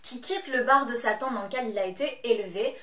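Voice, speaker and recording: A woman's voice: on the high side, a little fast, pushed and bright, roomy sound. A woman with a Belgian French accent reviewing a comedy show in a playful, teasing vlog, talking straight to the viewer. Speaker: vlog host reviewing shows.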